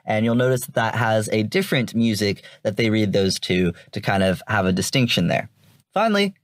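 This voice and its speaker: A man's voice: mid-range voice, no echo. A man hosting a commentary podcast, explaining things informally in a semi-scripted way. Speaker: podcast host and reviewer.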